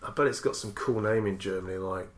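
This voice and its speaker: A man's voice: lowish voice, quick pace. A man in casual conversation, swapping anecdotes about films in an informal, sweary storytelling manner. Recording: roomy, echoey sound.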